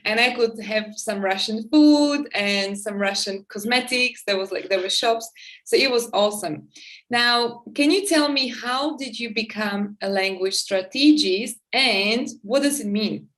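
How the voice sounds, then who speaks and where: A woman's voice: on the high side, a little slow, fairly bright tone. A woman from the former Czechoslovakia, speaking English as a second language, hosting a casual interview with enthusiastic, chatty talk. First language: not English; similar to Czech. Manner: enthusiastic, chatty, frequent restarts. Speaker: podcast host.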